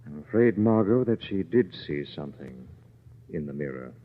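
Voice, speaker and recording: An actor playing a composed, authoritative male sleuth in an old radio mystery, measured, probing and grave. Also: extremely low pitch, sing-song. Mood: calm, grave. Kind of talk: old-time radio acting. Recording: hissy, muffled vintage radio recording.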